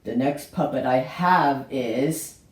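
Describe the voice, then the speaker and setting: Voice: medium pitch, slow. A person presenting a homemade puppet show as host, with a theatrical, exclaiming storyteller manner. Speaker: host showing a puppet collection. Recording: roomy echo with faint noise.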